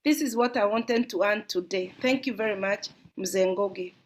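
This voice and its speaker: A woman's voice: medium pitch, normal speed, a little hiss or hum. A middle-aged woman from Kenya with a Kenyan accent, earnestly encouraging parents during a discussion. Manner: earnest and encouraging, talks in long flowing runs. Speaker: audience member asking a question. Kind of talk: heartfelt spoken comment in an online discussion.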